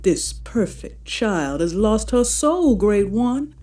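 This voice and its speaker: A woman's voice: mid-range voice, sing-song, takes it slowly, mellow, faint music behind. An actress playing a serene woman healer in her nineties, speaking in a formal, incantatory manner in an audio drama. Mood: solemn prayer. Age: 90s. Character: serene ninety-something woman, healer-priestess leading solemn prayer.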